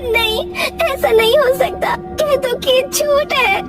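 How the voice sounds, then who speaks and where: A woman's voice: extremely high pitch, slow pace, distant mic, voice pushed up. A woman voicing an anxious, fretful village wife and mother in a cartoon. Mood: alarmed. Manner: worried, fretful. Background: loud music.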